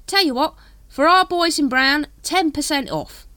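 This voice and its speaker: A woman voicing a shifty, fast-talking male street hawker with wheedling, conspiratorial sales patter in a storybook. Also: high-pitched, bright, forceful tone, no echo, pitched above the performer's own voice.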